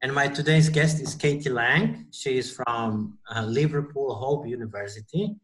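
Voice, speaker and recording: Um homem com sotaque eslovaco apresenta um webinar numa videochamada. Fala inglês de forma hesitante, com pequenos erros, e a voz tem altura média. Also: male voice, medium pitch, fairly bright tone, poor audio. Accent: Slovak. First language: Slovak. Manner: slow, halting English with small slips. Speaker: webinar host. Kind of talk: webinar interview. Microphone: video call.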